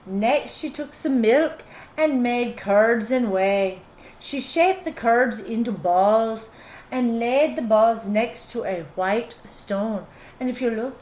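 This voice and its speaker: A woman storyteller and teacher reading a children's storybook aloud, expressively, with simple explanatory asides. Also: on the high side. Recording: echoey room.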